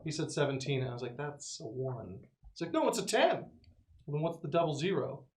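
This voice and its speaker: A man's voice: fairly high pitch, big pitch swings, brisk, darkish tone. A man chatting casually as a player in a tabletop game. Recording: background noise with clicks.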